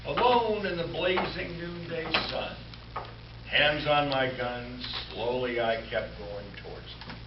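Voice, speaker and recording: A man playing a wry comedian who narrates a mock western as its hero, deadpan with dramatic pauses, in an old-time radio comedy staged live. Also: a little high. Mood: tense, solemn. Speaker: amateur radio-show player. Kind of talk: 1950s radio comedy re-creation, narrated monologue. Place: live stage show before an audience. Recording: echoey, noisy hall from far off, muffled.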